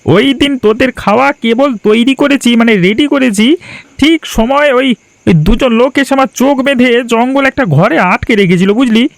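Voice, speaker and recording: A man voicing a frightened male friend escaped from kidnappers in a cartoon, with a gravelly voice. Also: extremely high pitch, a little fast, dry recording. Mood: urgent.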